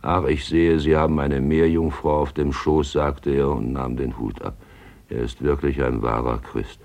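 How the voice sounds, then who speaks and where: A man's voice: extremely low pitch, steady tone, unhurried, gravelly, darkish tone, exaggerated voice. An actor playing a lovelorn, grumbling small-town draper in a radio play, speaking his love letter in an intimate, dry, wistful way. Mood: wistful, tender.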